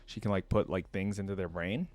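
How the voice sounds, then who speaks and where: A man's voice: low voice, steady tone, fairly quick, a little mellow. A man hosting a reaction video, reading a story aloud and breaking in with informal, exclaiming commentary. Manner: informal, exclaiming, interjecting his own commentary.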